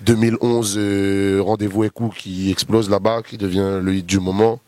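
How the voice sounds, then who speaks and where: A man's voice: lowish voice, level tone, moderate pace, a little mellow, dry recording. A man in his thirties from Réunion with a Réunionese French accent, chatting casually as a guest artist and rambling through long lists with many fillers. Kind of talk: casual interview chat.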